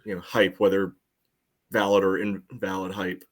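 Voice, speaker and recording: A man giving casual sports analysis as a podcast panelist, hedging and reasoning things through in a measured way. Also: sits low, flat delivery.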